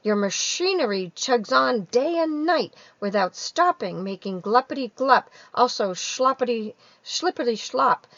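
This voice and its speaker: An indignant old storybook creature, acted out by a woman reader, complains in a loud, lifted, croaky voice. He scolds emphatically and querulously, stretching out his grievances like someone thoroughly fed up. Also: fairly high pitch, expressive, unhurried, few pauses, no room sound, highs cut off, pitched above the performer's own voice. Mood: angry, scolding. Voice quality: croaky, coughing.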